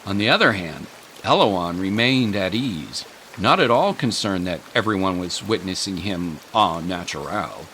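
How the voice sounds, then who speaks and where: A man's voice: low-pitched, expressive, measured pace, sharp tone, a little hiss or hum. A man narrating a dramatic scripted story. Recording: music and rain sounds behind.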